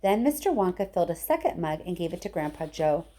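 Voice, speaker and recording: A woman reading a children's book aloud in an expressive storytelling style. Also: lowish voice, quick pace. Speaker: storybook reader, teacher.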